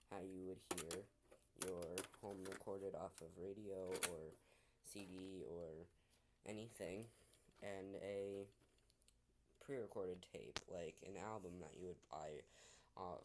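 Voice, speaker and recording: Man, slightly low voice, very slow pace, halting explainer delivery, short broken phrases. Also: background noise. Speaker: video presenter explaining technology. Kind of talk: unscripted explainer, demonstrating to camera.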